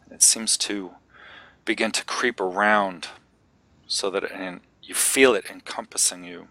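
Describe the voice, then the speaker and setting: Male meditation guide: lowish, crisp-edged bright voice, gentle and unhurried, leaving long pauses. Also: steady tone, no room sound, rough recording.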